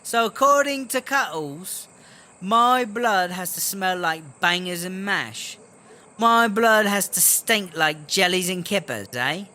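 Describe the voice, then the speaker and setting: A man's voice: sits high, expressive, slow, sharp tone, exaggerated voice. A man playing a crude, touchy English woman with a British accent in a comedy audio drama, sweary and sarcastic. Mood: offended, sarcastic. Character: crude, touchy English lady inmate, easily offended.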